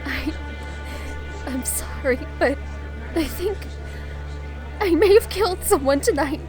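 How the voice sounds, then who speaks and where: A woman acting a distraught, guilt-ridden young costumed heroine in an audio drama, crying and halting in her despair. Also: high-pitched, lively intonation. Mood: anguished despair. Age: young adult. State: crying, anguished. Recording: music behind the voice.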